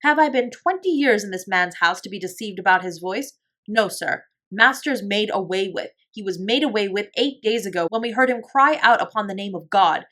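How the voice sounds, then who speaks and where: A woman's voice: medium pitch, lively intonation, moderate pace, pushed and bright. A woman plays a loyal old butler in a storybook tale. He is frightened yet determined, speaking with deference and urgent, emphatic force. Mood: agitated conviction.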